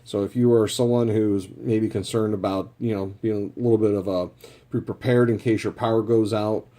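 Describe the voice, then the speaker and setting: Mellow, low-voiced man reviewing products. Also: fairly even intonation, normal speed. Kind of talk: casual product review narration.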